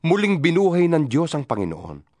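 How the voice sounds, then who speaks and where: Animated, high-pitched man reading scripture. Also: normal speed, no room sound. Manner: earnest, preaching, rhetorical questions. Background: background music.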